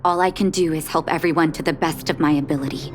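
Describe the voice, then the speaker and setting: A woman performs a resolute video game doctor devoted to duty. Her voice is on the low side, composed and firm, with soft music behind it. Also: steady tone, fast talker, lightly acted. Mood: calm resolve. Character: calm, resolute, dutiful woman doctor of the underworld.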